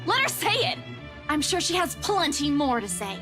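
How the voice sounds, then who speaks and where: A young game heroine speaks very high and with lively, swooping intonation. She is defensive and confrontational, sharp-tongued and bitter, over prominent game music. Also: normal speed. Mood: bitter, taunting. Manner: accusatory, confrontational. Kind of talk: visual novel game voice acting. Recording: game music loud behind the voice.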